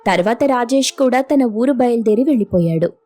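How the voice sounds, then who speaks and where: A woman's voice: fairly high pitch, expressive, brisk, mellow. A woman storyteller narrating a scripted moral tale.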